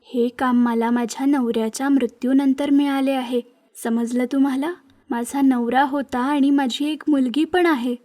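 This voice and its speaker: Young widowed mother, high voice, earnest and frank, played by a woman narrator, animated moral story. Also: normal speed, natural voice. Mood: frank, firm.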